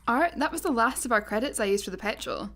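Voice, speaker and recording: A woman's voice: fairly high pitch, expressive, quick pace, a bit bright, a little hiss or hum. A woman playing a practical, matter-of-fact space fugitive in a sci-fi comedy radio play. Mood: brisk.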